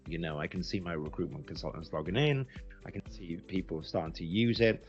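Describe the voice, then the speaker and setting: A man's voice: deep voice, brisk, muffled recording, soft background music. A British man giving a casual, unscripted review as a business founder, explaining things conversationally and repeating words for emphasis. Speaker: recruitment agency founder giving a software review.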